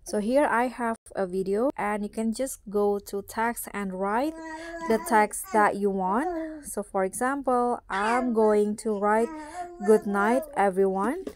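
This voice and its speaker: A woman's voice: mid-range voice, slow, a crowd in the background. A woman hosting a step-by-step phone app tutorial in a casual, instructional style. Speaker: tutorial host.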